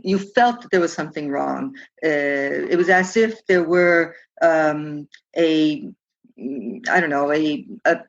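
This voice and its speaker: A woman from Southern California, a historian, answering interview questions in a halting way with many restarts. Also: lowish voice, dull audio. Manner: halting, with frequent restarts and filler words. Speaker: guest historian and guide. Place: remote, home in Palermo.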